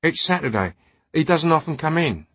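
A man's voice: on the high side, very animated, moderate pace, a bit bright, no echo, voice pushed up, exaggerated voice. A man voicing a young male altar boy in an audiobook, curt, grumbling and unsure of himself.

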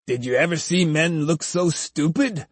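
A man's voice: fairly high pitch, moderate pace, no echo, close to an everyday voice. A man voicing an eager, sycophantic and mocking henchman in an audiobook story. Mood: jeering. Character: eager, jeering young male henchmen of a swordsman.

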